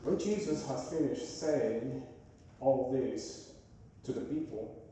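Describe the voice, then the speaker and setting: A man with a Venezuelan Spanish accent, preaching as a guest speaker in a church, pausing often to search for his words. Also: mid-range voice, dark tone, a little hiss or hum. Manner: halting, searching for words, frequent "uh" and restarts. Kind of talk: sermon. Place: church sanctuary. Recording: echoey hall from far off.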